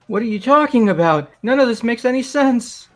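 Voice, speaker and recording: Indignant young game student, played by a man: high register, loud, exasperated, bewildered. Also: lively intonation, normal speed, soft background music. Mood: confused outrage.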